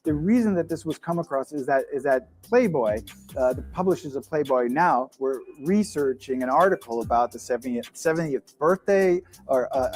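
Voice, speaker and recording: A man's voice: mid-range voice, darkish tone. A man telling an anecdote in a chatty, amused way on a podcast. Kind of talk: anecdotal storytelling.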